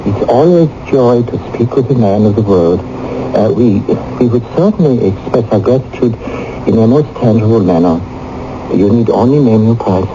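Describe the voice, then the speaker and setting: An actor playing a pompous, scheming noble duke in 1980s radio drama, with a smooth, formal, insinuating manner. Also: male voice, lowish voice, animated, normal speed, music in the background, natural voice. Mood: smoothly persuasive. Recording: dull vintage radio broadcast.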